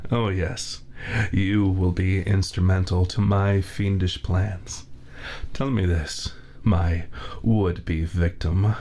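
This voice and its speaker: A man's voice: low voice, steady tone, warm tone, slight background noise. A man voicing a spiteful, fussy, laid-off veteran torturer in an ASMR roleplay, with formal, theatrical diction, recorded on a binaural mic. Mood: mock-sinister. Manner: formal, theatrical villain diction, slow and deliberate. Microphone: 3Dio binaural mic.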